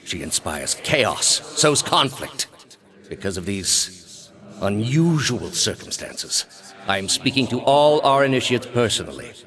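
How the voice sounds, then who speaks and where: A man playing a stern, zealous high priest and inquisitor in a video game, speaking in a measured, formal, quietly menacing way. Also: sing-song. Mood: stern, grave. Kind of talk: dramatic video game character dialogue.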